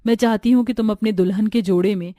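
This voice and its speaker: A woman's voice: mid-range voice, fairly even intonation, quick pace, mellow, slight background noise, lightly acted. A woman voicing a poor, devoted, anxious sister-in-law in an earnest, plaintive, tender way for a story. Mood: tender farewell.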